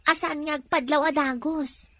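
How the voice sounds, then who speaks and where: A woman's voice: sits high, fairly even intonation, moderate pace, pushed and bright, no room sound. A woman playing a concerned, inquiring young daughter in a radio serial. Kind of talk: Philippine radio serial acting.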